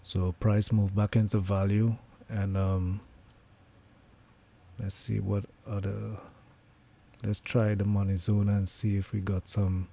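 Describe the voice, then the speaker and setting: Hoarse man, low and mellow, flat delivery, relaxed live trading commentary. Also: takes it slowly. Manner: relaxed, thinking aloud with many fillers. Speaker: trading livestreamer.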